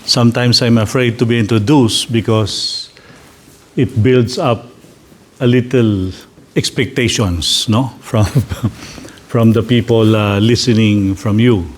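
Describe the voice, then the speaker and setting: A man with a Filipino English accent, a priest leading a slow, gentle guided reflection for a gathering. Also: on the low side, steady tone. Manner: slow, deliberate, gently inviting.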